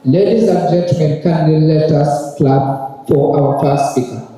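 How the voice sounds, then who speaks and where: Male emcee, high and very mellow voice, measured formal introductions, distant mic in a cavernous hall. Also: very noisy. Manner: slow, formal, polite requests to the audience. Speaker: master of ceremonies. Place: large ceremony hall.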